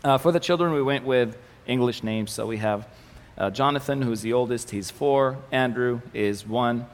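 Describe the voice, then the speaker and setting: Young Arabic-speaking man from the Galilee giving a casual church talk, gravelly, with a long hall echo. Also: mid-range voice, moderate pace. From Israel, Galilee. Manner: relaxed, joking, many fillers and restarts. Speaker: ministry communications manager giving a presentation. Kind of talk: church presentation with slides. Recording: echoey hall with faint noise.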